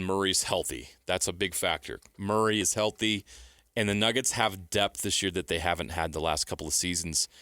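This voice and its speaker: Low-pitched, bright-voiced American sports host. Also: male voice, clear, resonant tone, no echo, clean studio sound. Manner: opinionated, declarative short sentences. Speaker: sports talk show host. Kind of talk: sports talk, playoff predictions.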